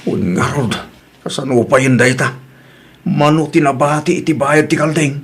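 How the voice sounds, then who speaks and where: A man's voice: on the high side, steady tone, moderate pace, slightly rough. A man with a northern Philippine accent playing an older, evasive, defeatist gambling father in an audio drama. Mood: doubtful.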